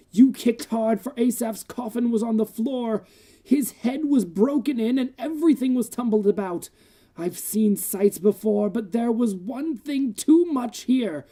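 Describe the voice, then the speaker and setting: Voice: medium pitch, mellow, pitched above the performer's own voice, lightly acted. A narrator voicing a horrified, agitated old male village doctor in a gothic horror reading, urgent and emphatic. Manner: urgent, aghast, emphatic delivery.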